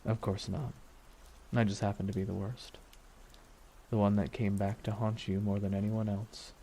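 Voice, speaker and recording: A man playing a grieving, vengeful supervillain in an ASMR roleplay monologue, weary and softly menacing, brooding with deliberate pauses. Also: lowish voice, natural voice. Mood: pained, taunting. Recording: steady noise under voice, rain ambience.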